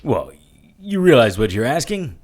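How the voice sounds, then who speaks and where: A man's voice: mid-range voice, big pitch swings, normal speed. An actor playing a wisecracking, weary adult male hero in an audio drama, dry and sardonic. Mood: bantering. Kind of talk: audio drama acting and narration.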